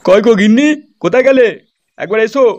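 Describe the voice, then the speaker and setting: A man's voice: extremely high pitch, fast talker, music in the background. A man voicing a foul-mouthed old grandfather in an amateur comedy cartoon, grumbling coarsely and keen to dance and drink. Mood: chatty, cheerful.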